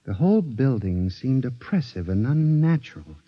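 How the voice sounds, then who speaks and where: A man's voice: mid-range voice, sing-song, moderate pace, dry recording. An actor playing an anxious male statistician who narrates and grows frightened, in a 1950s radio drama recording. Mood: uneasy. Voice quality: earnest, increasingly panicked. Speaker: narrator and lead character. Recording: dull old 1950s radio broadcast.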